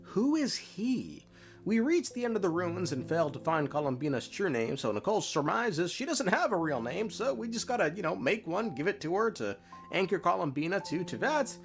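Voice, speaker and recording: A man with a high, lively voice delivers an energetic recap of game lore. He is emphatic and dramatic, swinging widely in pitch, with faint music behind him. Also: normal speed, creaky.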